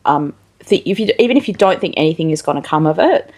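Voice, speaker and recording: A woman's voice: sits low, animated, quick pace, a little mellow. An Australian woman hosting a casual interview, talking in an informal, rambling way. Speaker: interview show host.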